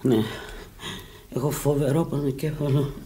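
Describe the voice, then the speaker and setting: A woman's voice: a bass voice, flat delivery, mellow. An actress playing a sick, weary, stubborn and desperate woman in a Greek radio drama, pleading and sometimes defiant. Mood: suffering.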